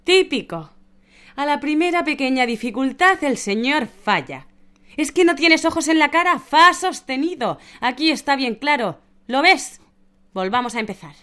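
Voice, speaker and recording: An actress playing a strict, scornful, short-tempered piano teacher who scolds and commands in a radio drama. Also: female voice, high voice, lively intonation, moderate pace, sharp tone. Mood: exasperated.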